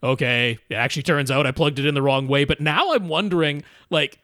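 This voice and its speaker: A man's voice: fairly high pitch, a little fast, a bit bright, no echo, clean studio sound. A man hosting a podcast, explaining gear problems in a casual, explanatory way and quoting people in asides. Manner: explanatory, quotes people in asides, frequent restarts. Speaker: podcast host giving home theater advice.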